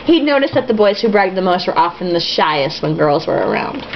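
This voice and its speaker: A woman's voice: fairly low pitch, big pitch swings, fairly quick. A woman reading a novel aloud in a casual, comic way, with sarcastic, foul-mouthed asides. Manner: tipsy drinking-game reader. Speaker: host reading a novel aloud with mocking commentary. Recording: dull lo-fi with faint hiss.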